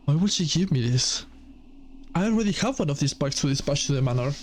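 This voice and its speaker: A man's voice: a little high, lively intonation, a little fast, a little mellow, slight background noise, close to an everyday voice. A man voicing a puzzled, forgetful doll in a gothic visual novel, questioning and uncertain.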